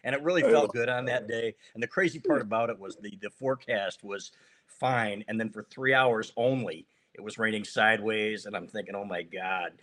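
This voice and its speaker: A man's voice: fairly low pitch, normal speed, fairly bright tone. Teasing male sports podcast host. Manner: teasing, joking. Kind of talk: casual sports chat.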